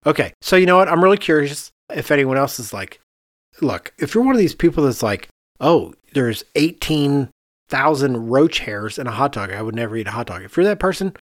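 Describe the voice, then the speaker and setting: Animated man chatting, close and dry. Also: mid-range voice, a little fast, dry recording. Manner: animated, joking. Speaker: podcast host. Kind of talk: casual chat.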